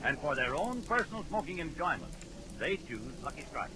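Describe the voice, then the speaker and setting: A man's voice: a little high, very animated, a little fast. A man with an American accent reading a 1940s radio commercial as an announcer. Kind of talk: 1940s radio advertising read. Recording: noisy old 1940s radio broadcast.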